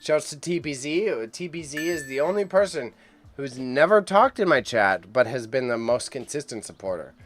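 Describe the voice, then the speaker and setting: A male streamer gives casual, rambling live commentary to his chat in a mid-pitched voice. He is excitable and talkative, with a slight speech impediment on sh and ch sounds. Music plays along with him. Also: moderate pace. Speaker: livestream host reacting to an online auction.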